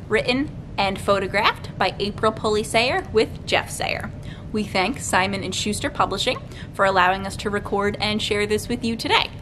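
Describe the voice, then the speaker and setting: A young woman leading a children's storytime, reading aloud with deliberate, clearly articulated phrasing as a librarian would for small kids. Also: medium pitch, background noise. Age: young adult. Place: library room with bookshelves, indoors.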